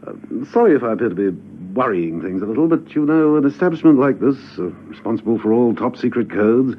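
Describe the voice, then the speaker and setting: An actor in a radio drama playing a suave, nonchalant gentleman agent who muses hesitantly and probes with sly politeness. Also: mid-range voice, lively intonation, quick pace, close to an everyday voice. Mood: polite, casual. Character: suave, nonchalant, quietly probing male gentleman secret agent. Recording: dull hissy old radio recording.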